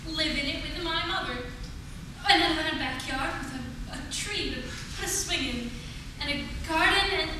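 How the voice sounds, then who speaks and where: A woman's voice: sits high, normal speed, lightly acted. A female performer playing an earnest, skeptical little girl in a 1940s-style radio play on stage. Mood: earnest, wistful. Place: community theatre performance. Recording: echoey theatre stage, distant mic, noisy.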